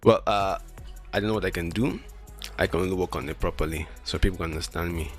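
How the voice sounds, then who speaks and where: A man's voice: low-pitched, fast talker, faint music behind. A man with a lisp who stutters and restarts words, rambling casually and self-deprecatingly as a streamer. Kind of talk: casual solo chat while testing mic settings.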